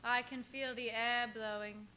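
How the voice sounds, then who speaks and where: A woman's voice: fairly high pitch, steady tone, sharp tone, a little hiss or hum, low-quality recording. A woman playing an entranced, haunted young woman speaking as if from a trance in a radio play. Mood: dreamy, eerie. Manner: trance-like, drawn-out. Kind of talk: old-time radio acting.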